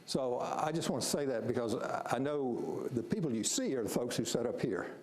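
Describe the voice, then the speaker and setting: Gravelly man explaining in an echoey room. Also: medium pitch, animated, normal speed, a little mellow. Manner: firm, explanatory, repeats words. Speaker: strategic planning facilitator. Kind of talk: explaining at a public meeting. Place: city meeting room. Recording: echoey meeting room, faint noise.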